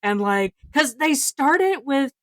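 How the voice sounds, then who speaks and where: A woman's voice: high voice, expressive, slow, fairly bright tone, no echo, clean studio sound. A woman podcast host chatting casually in an informal, candid, sweary way. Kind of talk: casual chat.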